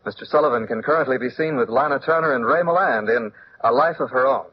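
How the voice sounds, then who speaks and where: A man's voice: mid-range voice, quick pace, slight background noise. A man with an American accent announcing a 1950s radio show in a theatrical, promotional manner. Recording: dull old radio transcription with music.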